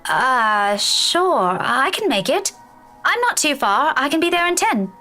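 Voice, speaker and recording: Casting: polite, bubbly young game heroine, bright forceful tone, highly animated delivery. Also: female voice, unhurried, faint music behind, heavily acted. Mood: bright, agreeable. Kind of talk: visual novel game voice acting.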